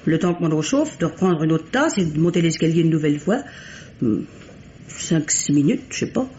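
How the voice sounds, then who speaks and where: A woman's voice: deep voice, very animated, brisk, warm tone. A nervous female household maid speaks in a hesitant, deferential, colloquial way. It is old French mystery radio drama. The recording is dull and lightly noisy. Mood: anxious recollection. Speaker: radio actor.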